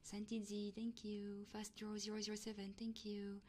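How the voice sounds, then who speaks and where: A woman live streamer chatting casually and playfully in a soft, breathy ASMR style, often whispering, close to the microphone. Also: medium pitch, completely flat delivery, normal speed, slight background noise. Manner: casual and playful, swearing freely.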